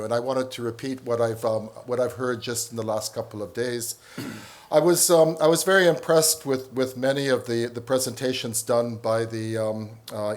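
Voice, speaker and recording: A middle-aged man from northern Canada, a professor giving a conference talk, full of pauses and restarts. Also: mid-range voice. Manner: hesitant, many ums and restarts. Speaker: professor and panel speaker.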